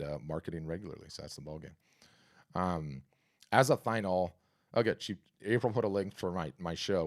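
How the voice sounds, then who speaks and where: A man hosting a live art business workshop and answering questions, talking in an energetic, conversational, salesy way with a scratchy, hoarse voice. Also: extremely low pitch. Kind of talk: live webinar talk and Q&A.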